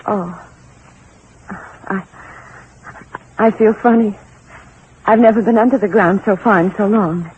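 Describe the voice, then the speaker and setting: An actress playing an anxious, trusting, chattering wife in a 1940s radio thriller, with a naive, questioning manner. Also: female voice, medium pitch, slow, long pauses, close to an everyday voice. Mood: uneasy, puzzled. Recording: muffled, noisy 1940s radio recording.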